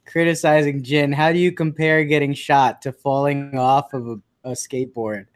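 A man's voice: mid-range voice, fairly even intonation, normal speed. A man co-hosting a comedy podcast, talking in a playful, teasing way and often breaking into giggles. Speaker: podcast co-host. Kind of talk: casual comedic chat.

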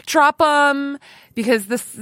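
A woman's voice: high-pitched, slow pace, sharp tone, no echo. Giggly lady podcast host chatting casually, really effusive, close dry sound. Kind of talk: casual interview chat.

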